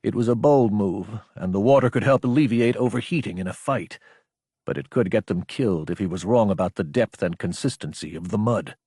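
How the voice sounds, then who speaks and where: A man narrates an action audiobook with a husky edge to his mid-range voice. His delivery is scripted, deliberate and dramatic. The sound is dry, with no room around it. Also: fairly low pitch, mellow, dry recording.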